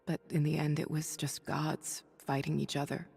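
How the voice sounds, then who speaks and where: A woman voicing a weary, noble superhero thunder goddess for a video game, confiding in measured, breathy speech. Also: deep voice, flat delivery, a little mellow, big-room sound, faint music behind, heavily acted. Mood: somber. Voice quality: breathy, husky. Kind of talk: video game voice acting, dramatic dialogue and audio-log monologue.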